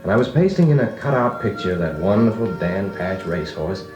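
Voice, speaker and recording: A man's voice: low voice, lively intonation, brisk. A man playing a grown-up recalling his farm boyhood, narrating in a folksy, nostalgic American voice like an old radio drama. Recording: echoey vintage record with music.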